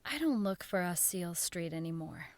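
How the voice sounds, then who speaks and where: A woman's voice: lowish voice, lively intonation, moderate pace, lightly acted. A woman playing a haunted but level-headed radio station worker in an audio drama. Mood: haunted, wistful. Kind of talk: radio drama acting and first-person narration.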